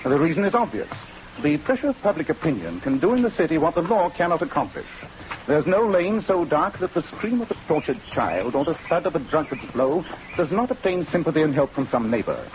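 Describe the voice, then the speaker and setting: An actor playing a keen, coolly superior Victorian gentleman detective, precise and declamatory, with an English accent, in a 1940s radio drama. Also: on the high side, very animated, fairly quick. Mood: grave, brooding. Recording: muffled, noisy 1940s radio recording.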